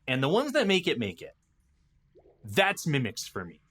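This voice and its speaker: A man in a casual podcast discussion, stopping and restarting as he thinks out loud, with animated asides. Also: on the high side, normal speed. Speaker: podcast guest.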